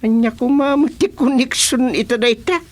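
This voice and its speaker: A man's voice: very high voice, moderate pace. A man with an Ilocano accent playing a village chairman in a radio comedy-drama, talking conversationally with many interjections. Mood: puzzled. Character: male village chairman, reacting with drawn-out exclamations. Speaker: actor in a radio serial.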